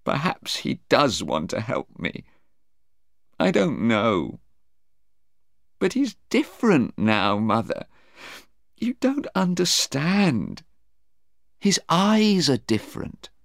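A man's voice: on the high side, big pitch swings, extremely slow, long pauses, dry recording, clean studio sound, pitched above the performer's own voice, full character voice. A man voicing a distressed, defensive young woman in an audiobook, hesitant and pleading. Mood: tearful, troubled. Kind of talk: audiobook character voice.

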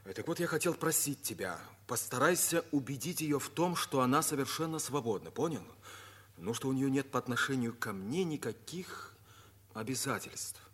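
An actor playing an ardent, commanding young pilot captain with a husky voice in a 1950s radio play. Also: male voice, mid-range voice, lively intonation, moderate pace, fairly bright tone. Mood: earnest, grave.

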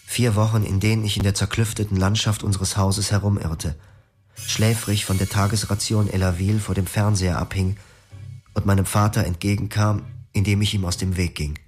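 A man narrating his own story in a radio drama in a quiet, reflective way. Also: on the low side, steady tone, normal speed, soft background music. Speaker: first-person narrator.

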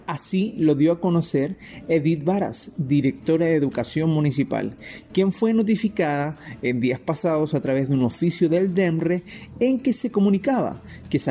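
Mellow male news reporter. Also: a little high. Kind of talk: scripted news narration.

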